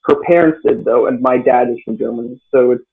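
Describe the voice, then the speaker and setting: A man's voice: mid-range voice, a little fast. A seventeen-year-old guy born and raised in the US, answering interview questions hesitantly with many fillers and restarts over a video call. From United States. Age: teens. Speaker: guest. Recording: tinny phone-like line.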